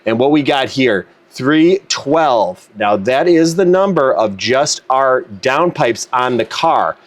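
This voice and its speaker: A man's voice: mid-range voice, animated, slow. A man hosting a car tuning video, explaining in a casual, matter-of-fact, conversational way. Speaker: car tuner and video host.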